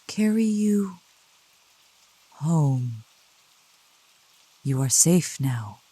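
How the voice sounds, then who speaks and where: A woman acts out a doting demoness of the woods in an ASMR roleplay. Her voice is soft, low and dark, very close and dry. She speaks slowly and formally, soothing and teasing with a possessive tenderness. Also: lively intonation, husky, dry recording, full character voice, poor audio. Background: rain.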